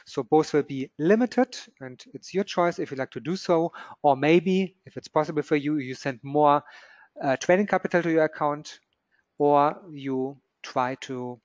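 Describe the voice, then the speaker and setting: A man's voice: fairly high pitch, no room sound, lo-fi sound. A man with a German accent explaining trading rules in a webinar, sometimes searching for the right English word. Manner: searches for English words, loose phrasing. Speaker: trading expert.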